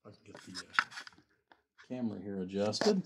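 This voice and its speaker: A man's voice: on the low side, big pitch swings, normal speed, heavy background noise. A man giving a casual, rambling workbench demonstration in a folksy, informal way, like a builder showing his work. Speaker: custom amplifier builder presenting his work.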